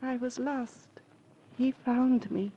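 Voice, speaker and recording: A woman's voice: high-pitched, steady tone, slow pace, slight background noise. An actress in an old radio crime drama playing a timid woman who clings to her protector. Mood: relieved, dreamy. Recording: muffled old radio recording.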